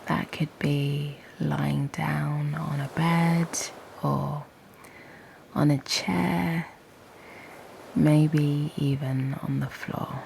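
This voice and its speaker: Slow, low, half-whispering woman guiding meditation. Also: fairly even intonation, slight background noise. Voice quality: soft, breathy, half-whispered. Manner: gentle, unhurried, with long pauses. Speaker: host and guided breathwork meditation teacher. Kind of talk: guided meditation, slow and soothing.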